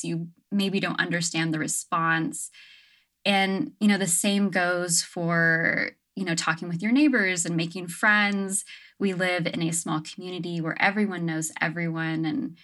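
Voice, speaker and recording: Reflective woman with lower voice. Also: fairly bright tone. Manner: reflective, many fillers like "um" and "like". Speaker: guest. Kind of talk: casual interview conversation. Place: her home on an island.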